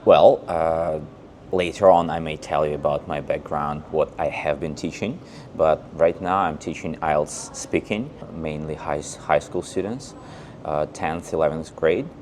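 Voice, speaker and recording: Low voiced belarus guy in his 30s, talks easy and tells stories, lapel mic. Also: a little slow, darkish tone, slight background noise. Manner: chatty storyteller, acts out quoted dialogue. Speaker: English teacher, guest. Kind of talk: casual interview answers. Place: outdoors on a paved old street and in a cafe.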